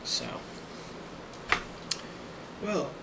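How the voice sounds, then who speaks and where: A man playing a friendly, rambling office boss in an ASMR role play, speaking softly and hesitantly with a reassuring manner and a creaky voice. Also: drawn-out words. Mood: calm, casual. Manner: soft-spoken, hesitant, reassuring. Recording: loud constant background noise.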